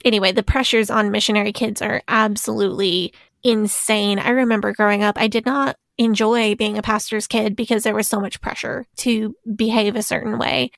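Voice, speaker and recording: A woman's voice: mid-range voice, fairly even intonation, brisk, vocal fry, a bit bright, dry recording. Chatty woman podcast host, dry close mic. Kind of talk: casual podcast chat.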